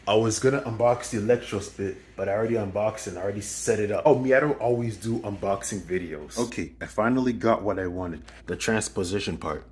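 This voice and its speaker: A man's voice: fairly low pitch, fast, few pauses. A man casually demonstrating gear as a video host, going step by step and repeating himself. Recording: clearly noisy recording.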